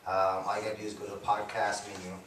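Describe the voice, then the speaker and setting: A man co-hosting a local radio talk show, talking in a laid-back, teasing way and quick to laugh. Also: fairly low pitch, completely flat delivery, a bit bright. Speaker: radio co-host. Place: radio studio. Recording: echoey room, distant mic, faint noise.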